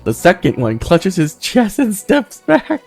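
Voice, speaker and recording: A man's voice: high-pitched, wildly swooping pitch, measured pace, creaky, a little mellow, soft background music. A man hosting a game playthrough, casually commenting and reading the story text aloud. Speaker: gaming video host.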